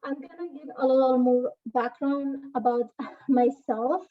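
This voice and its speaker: Afghan-born woman panelist, high earnest voice. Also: unhurried, narrow, tinny audio. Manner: earnest, with frequent fillers and restarts. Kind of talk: personal story and poem recital on a panel. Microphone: video call.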